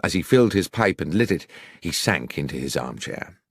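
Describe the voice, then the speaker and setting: A man's voice: deep voice, sing-song, moderate pace, slightly rough, no echo, studio quality. A British man reading a first-person story as scripted audiobook narration.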